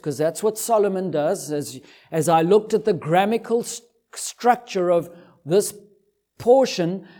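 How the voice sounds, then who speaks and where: A man's voice: sits high, mellow. A man with a Zimbabwean accent preaching an expository sermon in a didactic way, driving points home with emphatic repetition.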